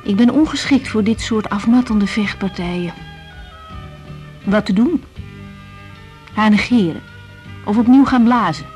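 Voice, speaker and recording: An actress playing an earnest, weary young doctor in a radio drama, speaking in short reflective phrases. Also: female voice, medium pitch, unhurried, long pauses, darkish tone, faint music behind, close to an everyday voice. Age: young adult. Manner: reflective, intimate, clipped phrases. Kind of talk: radio drama acting with diary-like monologue.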